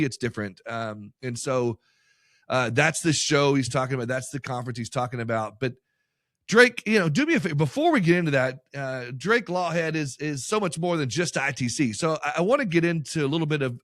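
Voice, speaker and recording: An enthusiastic male host rambles warmly through ad reads and questions. His mid-range voice comes through dry and close, with no room sound. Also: mid-range voice, lively intonation, brisk, no room sound. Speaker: podcast host. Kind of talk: podcast interview and ad reads.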